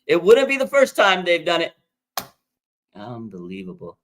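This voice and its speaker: American guy around forty, live-stream host, fairly high voice, excitable and jumpy in pitch. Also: normal speed, slight background noise. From Las Vegas, Nevada, USA. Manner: excitable, with sudden pitch jumps. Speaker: livestream host. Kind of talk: casual live chat, reading fan comments.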